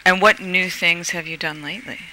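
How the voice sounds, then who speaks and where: A man hosts a radio show, firing one personal question after another at his guest. His voice sits a little high for a man. Audible noise hangs over the broadcast. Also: fairly even intonation, a little fast, pushed and bright, poor audio. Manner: probing, keeps asking personal questions. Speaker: radio host. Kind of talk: casual radio interview.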